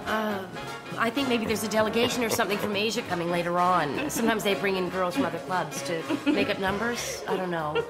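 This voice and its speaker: An actress playing an accommodating club hostess in an audio drama, casual and placating. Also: female voice, mid-range voice, brisk, natural voice, rough recording. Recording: crowded club with loud music.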